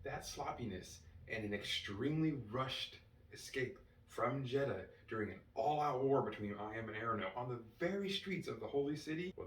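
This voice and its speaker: A man giving an enthusiastic fan review as a solo host, excited and emphatic, drawing out key words. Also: medium pitch. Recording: roomy, distant mic with faint noise.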